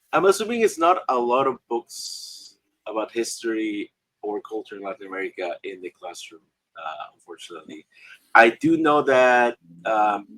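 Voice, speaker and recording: A man's voice: lowish voice, a bit bright, dry recording, low-quality recording. A man from Guatemala, an author and illustrator, speaking on a panel in a hesitant way full of fillers and restarts. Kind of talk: casual panel discussion.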